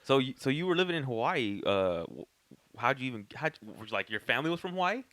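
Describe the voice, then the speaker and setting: A man's voice: mid-range voice, big pitch swings, fairly quick, a bit bright, no room sound. A man in his twenties hosting a podcast, chatty in a casual interview and backing guests with plenty of "yeah". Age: 20s. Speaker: podcast host.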